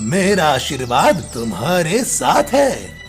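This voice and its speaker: A man voicing a solemn old male bird holy man who makes slow pronouncements in a cartoon. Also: a little high, wildly swooping pitch, over music, full character voice. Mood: solemn, blessing. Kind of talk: Hindi cartoon story dialogue.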